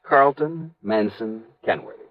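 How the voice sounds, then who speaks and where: A man's voice: fairly low pitch, measured pace, no room sound, lightly acted. An actor playing an emphatic, opinionated American insurance man in 1950s radio drama style, insistent and sure of his suspicions. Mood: scornful. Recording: dull, band-limited old radio recording.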